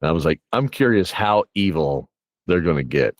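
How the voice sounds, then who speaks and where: Male host, lively swooping intonation, close dry sound, jokey conversational banter with chuckles. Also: low-pitched, moderate pace, dry recording. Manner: jokey, chuckles while talking. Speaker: interview host and game master. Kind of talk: casual bantering interview chat.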